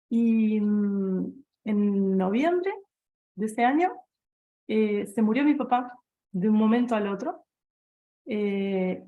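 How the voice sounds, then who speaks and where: Una mujer argentina de mediana edad, profesora de seminario, que cuenta una experiencia personal a sus alumnos de forma conversacional, con muchas pausas y reformulaciones. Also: female voice, mid-range voice, darkish tone. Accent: Argentine Spanish. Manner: conversational, many restarts and fillers, addresses listeners directly. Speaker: seminar lecturer. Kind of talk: personal anecdote in an online lecture.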